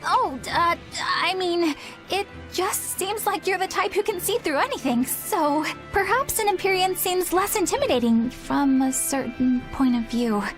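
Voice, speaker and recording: A righteous young woman exorcist in a video game. Her voice is high, with formal, sincere and proper phrasing, over light music. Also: expressive, a little slow. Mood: flustered.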